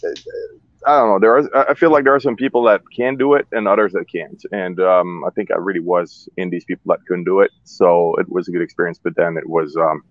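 A man's voice: deep voice, fairly even intonation, brisk, dry recording, dull audio. A man in his thirties from Switzerland, a cigar maker guest talking loosely and candidly on a radio show, full of fillers and restarts. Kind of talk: relaxed interview talk. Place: radio studio.

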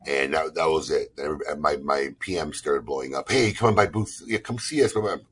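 A man hosting a casual interview, teasing his guest in a jumpy, animated way. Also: very deep voice, fairly quick, a little hiss or hum. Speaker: host.

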